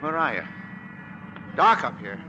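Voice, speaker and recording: An actor playing a cornered, menacing gangster boss who blusters and wheedles in a 1940s radio drama. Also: male voice, a little high, big pitch swings, over loud music. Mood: wheedling, desperate. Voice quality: gravelly. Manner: shouting, fast, wheedling bluster. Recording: noisy vintage 1940s radio broadcast.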